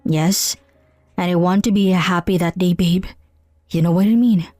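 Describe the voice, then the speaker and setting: An earnest, concerned husband in an audio drama, as a female narrator plays him. The voice sits lowered and measured. The recording is dry, with music behind it. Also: steady tone, darkish tone, no room sound. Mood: calm, coaxing. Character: calm husband, earnest and concerned adult man.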